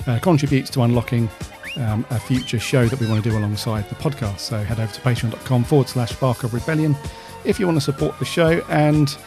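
A man's voice: mid-range voice, quick pace, hardly any pauses, over music. A man co-hosting a podcast in casual, easygoing, friendly chat. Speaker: podcast co-host.

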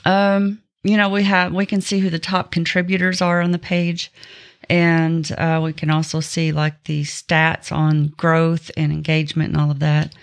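A woman's voice: deep voice, little pitch movement, moderate pace. Chatty woman co-hosting a casual podcast. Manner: informal, chatty. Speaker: podcast co-host. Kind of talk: casual podcast chat with announcements.

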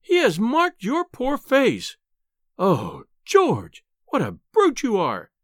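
A man's voice: extremely high pitch, wildly swooping pitch, slow pace, dry recording, clean studio sound, voice pushed up, full character voice. A man voicing a small, furious, indignant wife in an audiobook, screaming and wailing through her scolding. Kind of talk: audiobook character acting.

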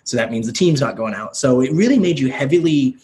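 Man in his thirties, US guest, energetic storyteller, swears casually, mid-range pitch. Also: a little fast. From United States. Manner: energetic, self-deprecating storyteller, swears freely. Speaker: podcast guest. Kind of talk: casual chat.

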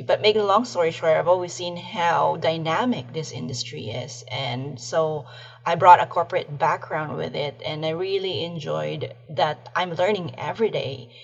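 A woman's voice: low-pitched, creaky, breathy edge, lo-fi sound. A woman from the Philippines with a Filipino English accent, a guest on a podcast, speaking earnestly in a casual interview.

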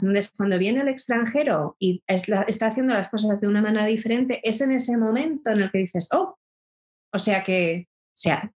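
Woman, thoughtful explainer, restarts phrases. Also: a little high. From Spain. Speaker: intercultural educator. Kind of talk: reflective interview talk.

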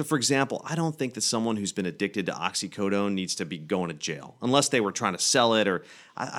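Guest doctor from Tennessee, male, slightly low voice, earnest and halting with lots of "you know"s. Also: fairly bright tone. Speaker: guest physician. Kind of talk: radio interview answers.

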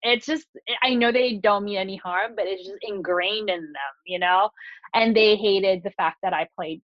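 A woman's voice: mid-range voice, moderate pace, no room sound. Chatty woman, muffled dry recording. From Philippines. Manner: chatty, many fillers and restarts. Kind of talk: casual conversation.

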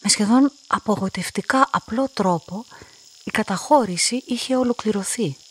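An actress playing a solitary young woman in a radio play, polite, measured and introspective, quietly devoted and resolute. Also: medium pitch, slightly rough, lightly acted. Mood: introspective narration. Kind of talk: radio drama acting and first-person narration.

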